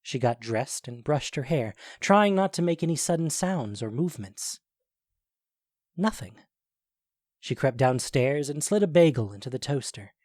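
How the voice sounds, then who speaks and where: Expressive male story narrator. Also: medium pitch, unhurried, no room sound, clean studio sound.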